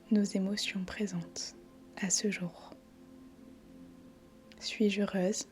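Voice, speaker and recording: A woman guiding a body scan meditation in a soft, breathy, near-whispering voice, calm and soothing, in short phrases with long pauses. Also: mid-range voice. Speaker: meditation guide. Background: faint background music.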